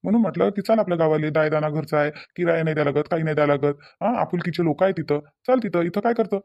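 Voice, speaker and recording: Man acting high-voiced, fast-talking cartoon husband. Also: lightly acted. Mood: coaxing. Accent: Varhadi Marathi of Vidarbha. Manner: repetitive, reasoning, persuasive. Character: earnest husband and dutiful son, coaxing, worn down. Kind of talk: cartoon dialogue. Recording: dull, lo-fi sound.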